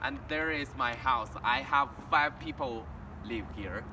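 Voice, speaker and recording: Young chinese guy, mandarin first language, halting student english, recorded outside, kinda far off. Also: mid-range voice, bright, forceful tone. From China. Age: young adult. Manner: halting, with frequent restarts and simple learner grammar. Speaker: international student. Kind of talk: walk-and-talk self-introduction presentation. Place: outdoors in a town, walking near a house and street. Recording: distant outdoor recording with traffic noise.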